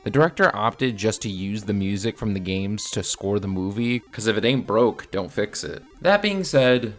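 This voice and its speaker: A man's voice: on the low side, expressive, moderate pace, faint music behind. A man narrating a scripted video review in a casual, opinionated manner. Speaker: film reviewer.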